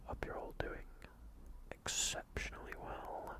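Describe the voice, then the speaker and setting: A man whispering playfully to viewers on an ASMR livestream into a fluffy microphone, repeating greetings and joking around. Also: measured pace.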